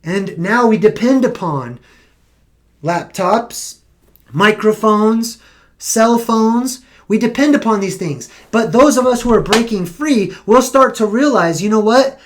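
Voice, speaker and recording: A man's voice: high-pitched, animated, slow. A young man hosting a casual live stream, losing his voice, rambling and correcting himself as he talks. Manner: rambling, self-correcting, conversational.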